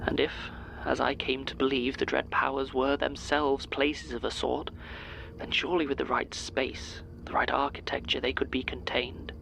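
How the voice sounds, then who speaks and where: A grave man acting a learned gentleman of middling pitch, with a gravelly, creaking edge. He speaks formally and with portent, like a letter read in an audio drama, over faint noise. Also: flat delivery, normal speed, poor audio. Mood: grave, reflective. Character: solemn, learned gentleman architect writing a grave warning.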